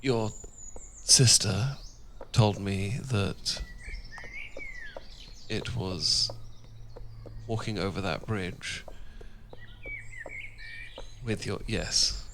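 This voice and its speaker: A British man playing a tender, well-born Regency husband in a romantic ASMR roleplay, speaking softly and formally with affection, often dropping to a whisper. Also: on the low side, drawn-out words, long pauses. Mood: tender, teasing. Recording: noisy, birdsong and outdoor sounds behind. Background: birdsong.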